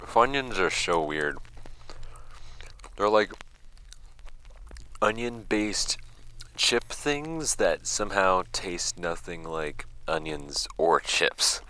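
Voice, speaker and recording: A man's voice: low-pitched, lively intonation, slow pace, long pauses, pushed and bright, full character voice, low-quality recording. A man playing a casual, wry amateur podcast host who muses conversationally in an audio drama. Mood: offhand.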